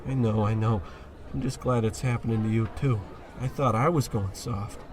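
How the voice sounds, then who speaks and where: A man's voice: medium pitch, steady tone, moderate pace, background noise, close to an everyday voice. A man playing a hapless, hard-drinking working stiff who gripes in slang in a comic old-time radio play. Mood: lovesick.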